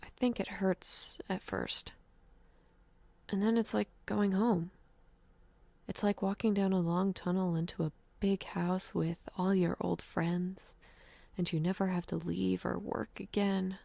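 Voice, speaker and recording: An actress plays a young woman worn down by depression, bitter and fatalistic. Her voice is full of gravelly creak and recorded very dry, as a character in a dramatized audio story. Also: mid-range voice, level tone, measured pace, dark tone, dry recording. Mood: quiet, wistful. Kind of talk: dramatized horror-fiction audio story acting.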